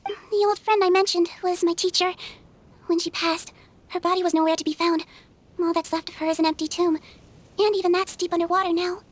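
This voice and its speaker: Little nurse creature for a video game: extremely high, youthful, gentle, calm, matter-of-fact. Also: female voice, brisk. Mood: calm, candid. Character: small kindly female nurse creature, youthful, calm, candid. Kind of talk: video game cutscene acting.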